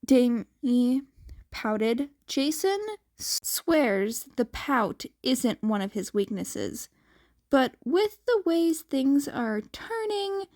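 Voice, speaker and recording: Slow, soft-toned woman reading a story. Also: high-pitched, expressive. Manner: stumbles and repeats words. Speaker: podfic reader. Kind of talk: fan fiction narration with acted dialogue.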